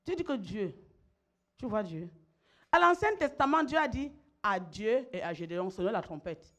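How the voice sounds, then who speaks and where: A woman's voice: medium pitch, very animated, normal speed, fairly bright tone. A middle-aged woman pastor, preaching emphatically, repeating phrases and calling on her listeners to respond. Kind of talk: sermon.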